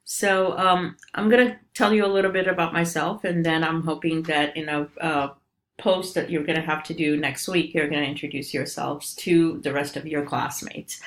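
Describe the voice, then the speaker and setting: A middle-aged woman with a Romanian accent, a professor giving a recorded course introduction in a relaxed, explanatory way with frequent fillers. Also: low-pitched, normal speed. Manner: informal, many ums and uhs, explanatory. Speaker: statistics professor.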